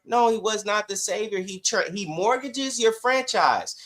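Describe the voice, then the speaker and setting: A man's voice: sits high, expressive, a little slow, fairly bright tone. A man on a sports podcast arguing casually and emphatically, often repeating phrases to drive his point home.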